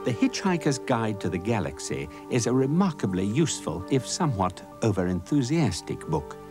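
A British man reading scripted comic documentary narration in a measured, mock-serious way. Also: mid-range voice, big pitch swings, music in the background.

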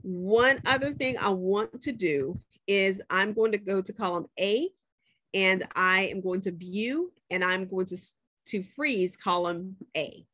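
A woman's voice: medium pitch, unhurried, fairly bright tone, no echo. A woman professor walking students through a spreadsheet on a shared screen, chatty and checking in with them often. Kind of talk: screen-share class demo.

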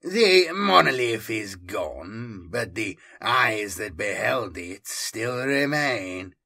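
A ruthless warlord speaks with slow, measured menace, as a British man puts him on for an audiobook. Each line comes cold and deliberate, with a bright, forceful edge. The voice stays close to the narrator's own. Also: fairly low pitch, big pitch swings, creaky, heavily acted. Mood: menacing. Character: cold, ruthless male Chaos Lord.

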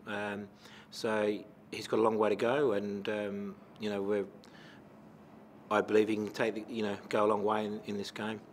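A middle-aged man with an Australian accent, a football coach answering questions at a post-match press conference into a table microphone, measured and full of fillers. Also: on the low side, completely flat delivery, slight background noise. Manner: measured, many fillers and restarts. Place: press conference room with sponsor backdrop.

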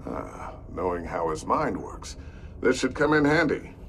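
A middle-aged inventor and mentor in a cinematic game. He is fatherly and dry, yet his pitch moves expressively. Faint noise sits behind the voice. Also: sing-song, unhurried, full character voice. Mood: wry, curious. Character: wry, fatherly older male tech expert and mentor. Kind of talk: cinematic video game dialogue.

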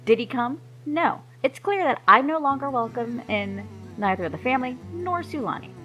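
A woman's voice: medium pitch, lively intonation, gravelly, fairly bright tone, dull audio, soft background music. Woman voicing a stubborn mermaid princess wife. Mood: angry. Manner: emotional, quick to flare up.

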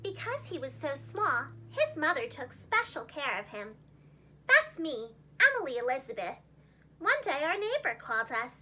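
A young woman reading a picture book aloud to small children as a storytime host, with an expressive, dramatic delivery. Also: sits high, moderate pace, rough recording. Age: young adult. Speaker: preschool teacher and storytime host. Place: small indoor room, seated against a plain wall.

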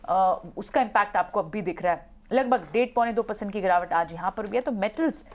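A woman's voice: medium pitch, a little fast. Hesitant woman market reporter. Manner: hesitant, many fillers. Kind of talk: live market commentary.

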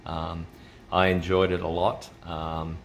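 A man's voice: sits low, monotone, slow, vocal fry. A man giving an unscripted, hesitant, explanatory demonstration as a radio hobbyist, thinking aloud as he goes. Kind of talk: unscripted casual tech demonstration. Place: indoors at a home desk with computers. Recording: roomy echo, noticeable background noise.

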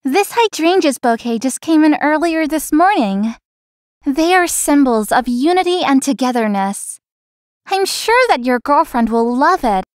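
A woman playing a feisty young florist in a visual novel, earnest and expressive. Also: high voice, unhurried, no echo, clean studio sound. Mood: courteous. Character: feisty young female florist, polite but privately resentful.

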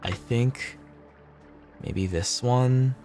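A man's voice: mid-range voice, extremely slow, warm tone, soft background music. A young man chatting casually on a gameplay livestream, slangy, sweary and laid-back. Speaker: streamer.

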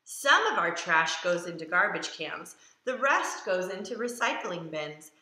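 Woman reading as an eager, bright-voiced storybook dog. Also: on the low side, measured pace. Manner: expressive, simple, emphatic storybook delivery. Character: eager, curious family dog narrating his recycling day. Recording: roomy echo around the voice.

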